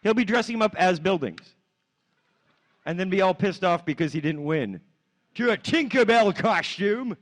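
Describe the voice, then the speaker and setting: Man, high voice, casual improvised comedy chat. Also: expressive, normal speed.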